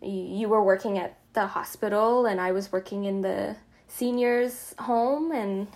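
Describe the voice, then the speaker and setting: A woman's voice: medium pitch, measured pace, a little mellow. A woman hosting a casual, chatty interview in an informal, loosely phrased way. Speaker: interviewer, host.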